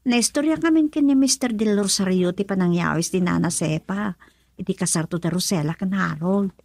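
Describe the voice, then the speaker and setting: An actress with an Ilocano accent playing an excitable, prying woman in a radio love drama. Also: medium pitch, normal speed, gravelly, no room sound, clean studio sound. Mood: gossiping.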